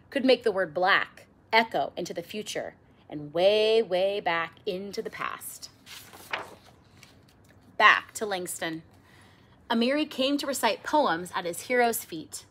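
A middle-aged woman reading a children's picture book aloud expressively, with theatrical emphasis and drawn-out words. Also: medium pitch, fairly bright tone. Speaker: storybook reader. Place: small indoor room with bookshelves.

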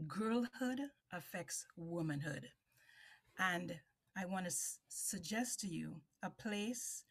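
A middle-aged woman with a Trinidadian accent, speaking slowly and emphatically on a video call panel, repeating key phrases. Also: fairly low pitch, slightly rough. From Trinidad and Tobago. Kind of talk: webinar presentation.

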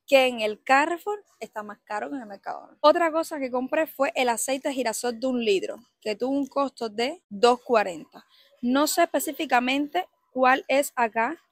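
A woman from Cuba with a Cuban Spanish accent, chatting excitedly to her viewers while walking a supermarket aisle. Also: a little high, dry recording. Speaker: vlogger. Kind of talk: casual walk-through vlog.

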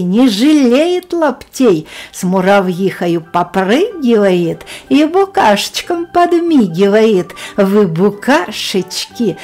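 A woman reading a children's poem aloud in a rhythmic, lively sing-song manner. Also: on the high side. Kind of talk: expressive children's verse reading.

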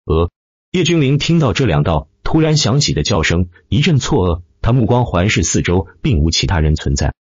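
Low-pitched male narrator, lively and husky. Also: normal speed, dry recording, muffled recording. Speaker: audiobook narrator. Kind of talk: scripted dramatic web-novel narration.

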